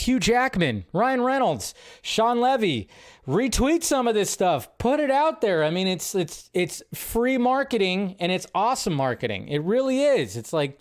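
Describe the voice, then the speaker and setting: Excitable high-voiced man hosting a live show. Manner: excitable, swears, many fillers and restarts. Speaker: show host. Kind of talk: casual live show commentary.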